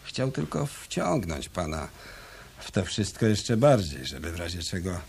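An actor playing a sardonic, cool male detective in a radio drama, talking in a dry, ironic, unhurried way. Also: low voice, very animated, gravelly, a little mellow, a little hiss or hum, heavily acted. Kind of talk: 1990s radio drama acting.

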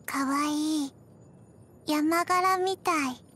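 A tiny zombie girl from a video game. Her voice is high and childlike, and she speaks very slowly in soft, halting pieces. She sounds emotionless yet gentle, as if memory comes to her a bit at a time. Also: heavily acted. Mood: flat, drowsy. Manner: halting, deadpan, soft-spoken with frequent pauses. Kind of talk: scripted video game character voice lines.

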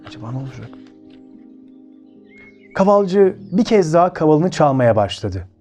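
A man's voice: a little high, very animated, moderate pace, darkish tone, faint music behind. A man with a Turkey Turkish accent hosting a children's story show, speaking expressively and addressing his listeners directly as friends.

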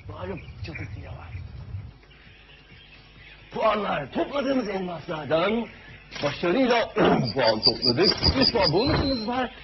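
A young man hosting a TV game show, commentating live in an excitable, encouraging way. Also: high voice, measured pace, pauses often, background noise. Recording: dull TV audio, loud game music. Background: cartoon game music.

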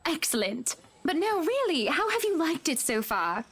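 An actress playing a wealthy, teasing, sharp-tongued young wife in a visual novel, chatty and pointed. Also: female voice, high voice, sing-song, moderate pace. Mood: breezy.

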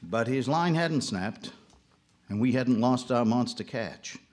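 Folksy, animated fisherman storyteller. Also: medium pitch, takes it slowly. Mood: wry, storytelling. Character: wry, folksy small-town ice fisherman telling ghost tale. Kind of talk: live radio drama narration.

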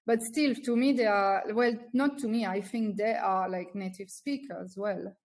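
A woman in her thirties talks through a webcam from a small room at home. Her pitch sits in the middle range, and she chats casually as an interview guest. She works out her ideas aloud with frequent ums and restarts. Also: moderate pace. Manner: thoughtful, many ums and restarts. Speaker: guest, founder. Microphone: laptop webcam on video call.

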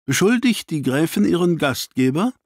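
Gentleman detective, played by a male narrator: shrewd, inquisitive, formal, raised pitch, audiobook crime story. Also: very animated, moderate pace, breathy edge, no echo, clean studio sound, full character voice. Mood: keen, dry.

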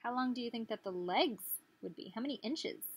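A woman's voice: on the high side, expressive, fairly quick, breathy edge, highs cut off. A woman in her thirties reading a picture book aloud as a teacher, expressively, putting questions to small children. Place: small living room, indoors.

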